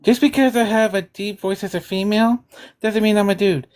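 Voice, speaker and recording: A woman in a heated political argument in an online voice chat, lecturing sarcastically and sometimes slowing her words down on purpose. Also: mid-range voice, steady tone, darkish tone. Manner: sarcastic, lecturing, deliberately slow at times.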